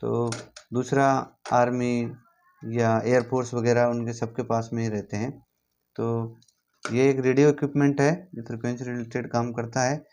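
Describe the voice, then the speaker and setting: A man's voice: mid-range voice, little pitch movement, normal speed, darkish tone. A man with an Indian accent giving an informal hands-on tutorial, repeating himself and checking in with the listener as he instructs. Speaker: instructor demonstrating equipment.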